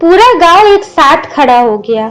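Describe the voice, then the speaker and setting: A woman's voice: sits high, big pitch swings, measured pace, a bit bright. A woman narrating a moral story in a scripted storytelling style. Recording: music under the voice. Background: instrumental music.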